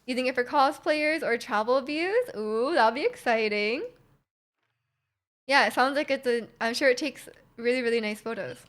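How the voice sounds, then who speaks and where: A woman live streaming a game, chatting casually with an excitable manner and often repeating her phrases. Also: on the high side, moderate pace. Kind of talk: casual live stream chat while playing. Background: game music.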